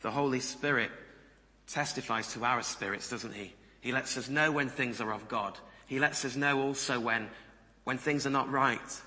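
Bright-voiced man preaching in a reverberant hall. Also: mid-range voice, normal speed. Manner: conversational, rhetorical questions to listeners. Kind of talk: sermon. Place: large reverberant room before a congregation.

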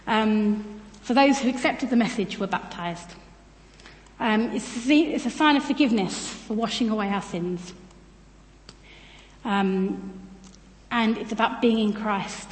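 A British woman giving an informal, chatty church talk in a self-deprecating way. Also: on the high side. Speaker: church speaker presenting on baptism. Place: large church room, speaking to a congregation. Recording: echoey hall with long reverb.

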